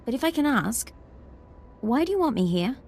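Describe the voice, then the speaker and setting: An actress playing an earnest young ensign in a video game, polite and dutiful in manner. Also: female voice, high voice, very animated, moderate pace, a little mellow, full character voice. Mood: surprised, eager. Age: young adult. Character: earnest, eager young female military ensign.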